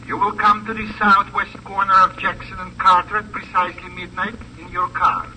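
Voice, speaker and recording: An actor playing a menacing, mocking criminal in a 1950s radio crime drama, speaking with formal, measured politeness. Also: male voice, sits high, flat delivery, sharp tone, low-quality recording. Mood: coldly businesslike. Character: menacing, precise, mocking male criminal on telephone. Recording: old radio recording, faint noise.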